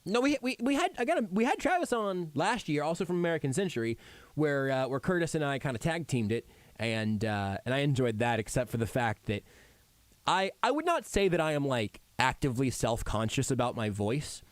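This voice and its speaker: A man hosting a sports radio show, joking around in animated, self-deprecating on-air banter from a radio studio microphone. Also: mid-range voice, brisk, dry recording.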